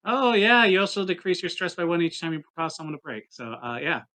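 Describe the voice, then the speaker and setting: A man's voice: high voice, very animated, brisk, pushed and bright. A man playing a tabletop game, chatting casually and explaining things bluntly with some swearing. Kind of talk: casual game-session chat.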